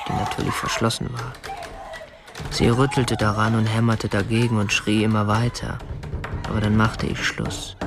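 An actor playing a prim, obsessive young kidnapper in a radio drama, speaking in a stiff, formal, matter-of-fact way. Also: male voice, lowish voice. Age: 20s. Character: prim, obsessive young male kidnapper, narrating his deed. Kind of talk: radio drama narration and dialogue.

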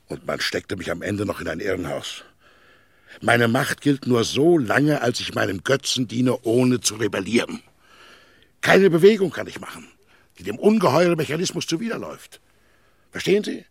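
A gravelly, bitter middle-aged magnate. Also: male voice, on the low side, no echo, studio quality, full character voice. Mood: anguished. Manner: theatrical, declamatory, with rhetorical questions. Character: weary, bitter billionaire magnate, enslaved by his power. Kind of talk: German radio play acting.

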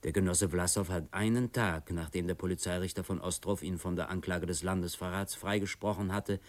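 Low-voiced, stern revolutionary boss played by a man. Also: fairly even intonation, close to an everyday voice. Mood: coldly emphatic. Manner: deliberate, emphatic, commanding. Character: stern, cold male revolutionary committee boss, calculating. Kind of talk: German radio play acting.

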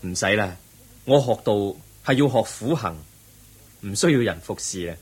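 An actor playing a calm, resolute young prince, gentle and earnest, in a radio drama. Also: male voice, lowish voice, moderate pace, husky, poor audio. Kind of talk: Cantonese radio drama acting.

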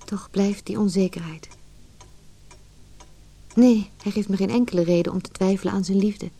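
A woman's voice: mid-range voice, steady tone, moderate pace, mellow, breathy edge. A woman playing a resolute but unhappy wife in a radio drama, speaking with restraint. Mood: wistful, uneasy. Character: resolute, unhappy wife leaving her marriage. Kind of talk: radio drama acting, dialogue and reflective monologue.

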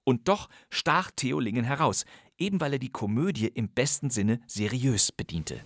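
A man's voice: medium pitch, expressive, moderate pace, no echo, studio quality. Wry man hosting a radio crime podcast. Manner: wry, ironic commentary. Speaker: podcast host. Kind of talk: scripted radio narration. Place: studio.

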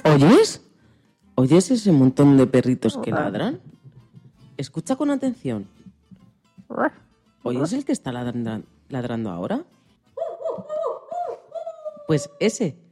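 A woman's voice: deep voice, big pitch swings, slow, long pauses, mellow, husky, faint music behind, heavily acted. A woman acting as a tender, wondering storyteller of a children's animal tale, theatrically questioning the listener.

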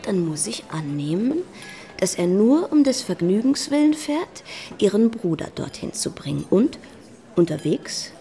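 Creaky-voiced worldly young society lady. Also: medium pitch, sing-song, a little slow, mellow, faint music behind. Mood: coaxing, probing. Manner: coaxing, knowing. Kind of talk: German radio play acting.

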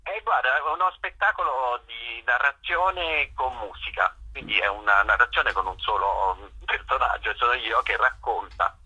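A man's voice: mid-range voice, normal speed, few pauses, sharp tone, slightly rough. A man interviewed by phone as a guest performer, explaining discursively and searching for his phrases. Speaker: theatre writer-performer being interviewed. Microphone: telephone call to radio. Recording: dull, band-limited phone line.

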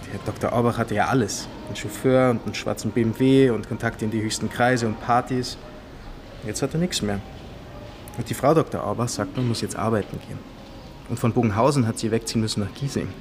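An actor playing an evasive, venal, gossipy guard in a radio play, speaking in a halting, insinuating, colloquial way. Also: male voice, mid-range voice, background noise, close to an everyday voice. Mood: gossipy, resigned.

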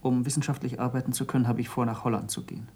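An actor playing an earnest, intense former pupil of a scientist in a German radio play, speaking tersely and formally, with stern gravity. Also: male voice, medium pitch, level tone, rapid-fire, a little mellow, slightly rough. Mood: curt, reproachful.

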